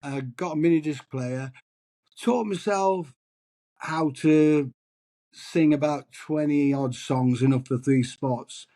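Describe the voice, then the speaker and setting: A man's voice: fairly high pitch, slow, dark tone. A middle-aged man from England, chatting in an interview as a tribute singer, self-deprecating and rambling with restarts. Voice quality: husky. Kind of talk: casual interview chat.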